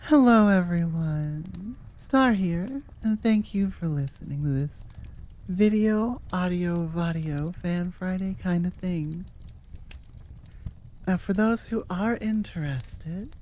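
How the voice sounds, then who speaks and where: A woman's voice: on the low side, slow, dark tone. A woman chatting casually to her listeners as an audio creator, in an informal, rambling and self-amused way. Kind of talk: casual outro chat to listeners.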